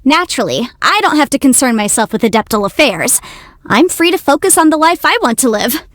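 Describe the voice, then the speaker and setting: A woman voicing a young, quick-witted half-immortal legal adviser in a video game, articulate, self-assured and playful. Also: high-pitched, big pitch swings, fairly bright tone, no echo. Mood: confident, matter-of-fact. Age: young adult. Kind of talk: video game character voice lines.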